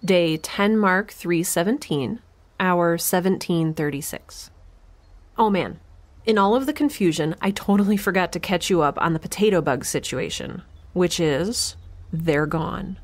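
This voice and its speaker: A woman playing a wry, frazzled young scientist in an audio drama, chatty and sardonic with halting moments. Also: fairly low pitch. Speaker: lead actor and creator of the podcast. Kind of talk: first-person audio drama, spoken log.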